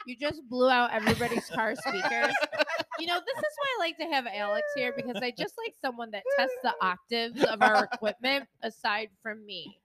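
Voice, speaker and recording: A woman's voice: a little high, huge pitch swings, brisk, a crowd in the background. A woman co-hosting a podcast in casual chat, laughing often, her voice slightly scratchy. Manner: laughs often while talking. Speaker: podcast co-host.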